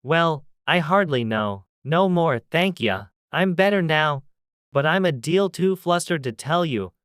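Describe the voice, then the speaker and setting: A man's voice: on the high side, animated, unhurried, a bit bright, smooth, no room sound, clean studio sound, pitched above the performer's own voice. A man voicing a small, feeble, flustered lizard with rustic speech in a storybook.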